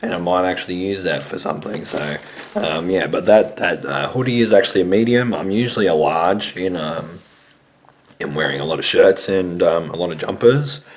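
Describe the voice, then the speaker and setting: A young man with a hoarse, raspy voice from a sore throat, hosting a casual sports vlog and rambling with many hesitant fillers. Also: low-pitched, moderate pace. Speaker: sports show host.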